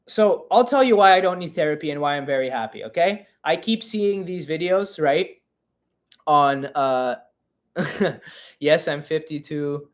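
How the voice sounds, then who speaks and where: A young man in his twenties hosts a live Q&A stream in a loose, chatty way, often poking fun at himself. His voice sits a little high for a man. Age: 20s. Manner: chatty and self-mocking, reading chat aloud. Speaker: podcast host.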